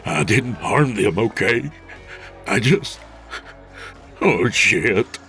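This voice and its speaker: Brief: whiny stammering low-life, voiced by a man, bright-edged tone. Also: lively intonation, drawn-out words, soft background music, exaggerated voice. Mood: scared. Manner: stammering, whining. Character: cowardly sleazy male crook, whining and stammering.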